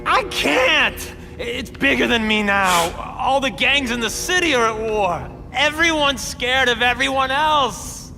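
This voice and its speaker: An actor playing a nervous, stammering gang boss in a video game, boastful one moment and panicky the next. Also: male voice, very high-pitched, animated, measured pace, creaky, few pauses, bright, forceful tone, full character voice. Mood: scared. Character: jittery male gang boss with a power suit. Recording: game audio with reverb and music.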